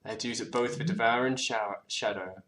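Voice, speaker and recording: A man's voice: mid-range voice, quick pace, a bit bright. Chatty man narrating his card game. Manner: chatty, restarts words, murmurs card names. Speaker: co-host playing a card game. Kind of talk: casual gameplay commentary. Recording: faint background noise.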